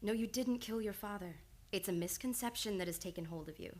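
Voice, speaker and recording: A woman playing a young, earnest psychiatrist with formal, measured speech in an old-time radio play. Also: mid-range voice, darkish tone, lightly acted. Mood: calm, clinical. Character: young, reserved, earnest female psychiatrist, precise and clinical.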